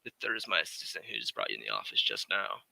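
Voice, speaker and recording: A man's voice: extremely low pitch, very animated, fast talker, creaky, pushed and bright, exaggerated voice. A man playing a grave, cautionary Foreign Legion colonel in a radio drama, briefing in a clipped military way. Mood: brisk, factual. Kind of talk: 1940s radio drama acting.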